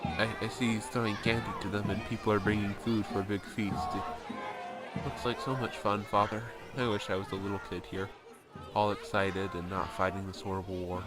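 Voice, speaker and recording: A man's voice: fairly low pitch, flat delivery, a little fast, loud music behind the voice, over a noisy crowd, natural voice. A man playing a young, war-weary American soldier in a family audio drama, speaking in an earnest, boyish way. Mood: wide-eyed wistful. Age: young adult.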